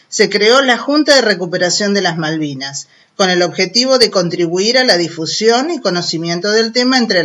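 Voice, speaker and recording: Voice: mid-range voice, fairly bright tone. An Argentine radio host with an explanatory, didactic way of talking, addressing young listeners directly. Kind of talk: children's radio programme, explanatory narration.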